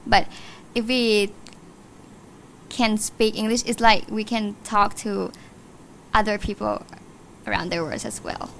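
A woman's voice: mid-range voice, takes it slowly, vocal fry, long pauses, fairly bright tone, a little hiss or hum, rough recording. A nineteen-year-old woman from Thailand, a guest chatting casually on a podcast, with many fillers. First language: Thai. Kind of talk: casual interview chat.

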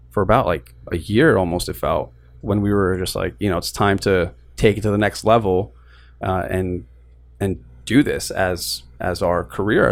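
Young guy from Queens, relaxed guest, lowish voice, laid-back and self-mocking, restarts a lot. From Queens, New York. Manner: easygoing, self-deprecating, frequent restarts. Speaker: guest, lending business co-founder. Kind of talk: casual interview chat.

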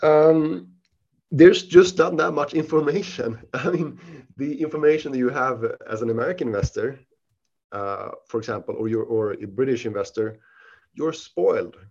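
A man with a Swedish accent and a mid-range voice answers interview questions in a halting, casual way, often restarting his sentences. The audio is dull and lo-fi. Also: medium pitch, darkish tone. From Sweden. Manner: halting, many restarts and fillers. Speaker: podcast guest, investment researcher.

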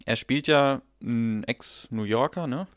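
A man's voice: mid-range voice, a little slow, no echo, studio quality. A man chatting casually and enthusiastically about a film on a podcast, in loose colloquial speech. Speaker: podcast co-host. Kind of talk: casual film review chat.

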